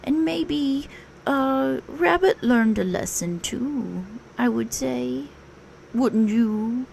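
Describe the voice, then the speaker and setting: A woman voicing a gentle male storybook bear, speaking slowly in a drawn-out, musing way. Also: sits high, lively intonation, gravelly, slight background noise, pitched above the performer's own voice, full character voice. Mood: content, chatty. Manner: slow, drawn-out, musing delivery. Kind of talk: children's storybook character voice.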